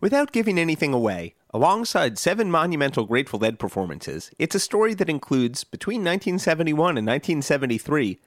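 Lively, dry-miked male podcast narrator. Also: fairly high pitch, fast talker, few pauses, no echo. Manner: lively storytelling delivery with list-like build-ups. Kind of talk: scripted narration.

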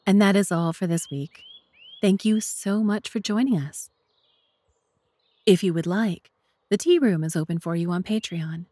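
A woman's voice: on the low side, a little slow, long pauses, dark tone, no room sound. A woman reading scripted narration as narrator and host of a story podcast.

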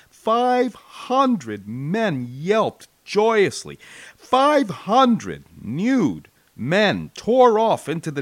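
An American man narrating a war memoir as an audiobook, with an expressive, wry, folksy storytelling delivery. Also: fairly high pitch, no room sound. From United States.

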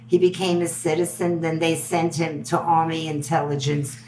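Older New York woman, low voice. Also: moderate pace, background noise. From Belgium. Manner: animated storyteller, acts out quoted dialogue. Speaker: guest. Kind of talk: storytelling interview.

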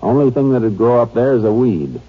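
A man's voice: mid-range voice, expressive, a little fast, husky, narrow, tinny audio. A man playing a shrewd, terse Texas Ranger investigator in an old-time radio western crime drama, on a noisy old recording. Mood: firm, reasoning.

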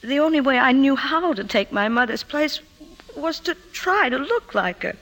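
An actress playing a troubled woman confessing painful memories in an emotive, halting way, in 1950s radio drama style. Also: high voice, lively intonation, fairly bright tone, poor audio. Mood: hurt, remembering. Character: troubled career woman confiding painful childhood memories to her doctor. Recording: 1950s radio broadcast, music behind.

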